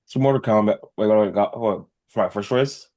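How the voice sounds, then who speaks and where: Low-voiced man in emphatic casual chat. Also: brisk, dark tone. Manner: emphatic, informal, mild swearing. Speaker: podcast co-host.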